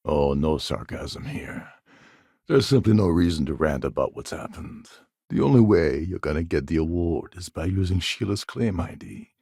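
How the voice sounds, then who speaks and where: An actor voicing a cynical, scheming space pirate pilot in a video game, with a gravelly, husky voice and a wry delivery. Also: male voice, deep voice, animated, normal speed, dark tone, no room sound, clean studio sound, heavily acted. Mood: dry, resigned. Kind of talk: video game character dialogue.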